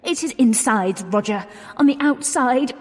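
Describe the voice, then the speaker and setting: An actress playing a nervous, exasperated young Englishwoman in a radio drama, with sharp, breathless reactions. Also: a little high, animated, unhurried. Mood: alarmed. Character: nervous, exasperated young woman stuck in dark archives. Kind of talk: 1920s-set radio drama acting. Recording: long echoing reverb with music underneath.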